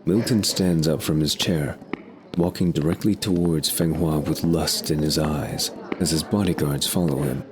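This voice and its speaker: A man's voice: extremely low pitch, steady tone, moderate pace, background noise. A man narrating a scripted audio drama in a descriptive storytelling way.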